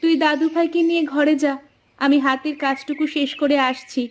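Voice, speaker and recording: High-pitched village housewife, acted by a woman. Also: fairly even intonation, fairly quick, natural voice. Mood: hospitable. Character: village wife and mother, guarded yet hospitable. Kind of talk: Bengali moral-story cartoon dubbing.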